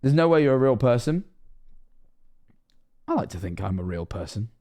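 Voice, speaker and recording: A man's voice: mid-range voice, very animated, normal speed, mellow. A Scottish man of 21 streaming casually, rambling and self-mocking, swearing often.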